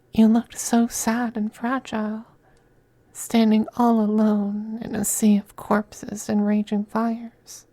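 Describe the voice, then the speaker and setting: A man playing a possessive, teasing femboy vampire in an intimate ASMR roleplay, speaking softly and breathily, often in a whisper, with coaxing, condescending affection. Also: high-pitched, dry recording. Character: possessive, teasing, doting femboy vampire master styled as mistress.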